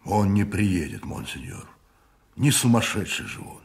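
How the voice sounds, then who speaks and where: A man's voice: low voice, big pitch swings, a little slow, gravelly, exaggerated voice. An actor playing a cardinal's deferential henchman, a count, respectful and guarded, in a radio play. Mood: doubtful.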